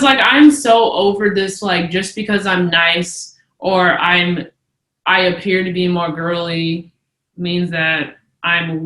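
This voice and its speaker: A woman's voice: fairly low pitch, bright, forceful tone, roomy sound, low-quality recording. A young woman co-hosting a casual podcast who speaks candidly and emphatically and says "like" a lot. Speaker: podcast co-host.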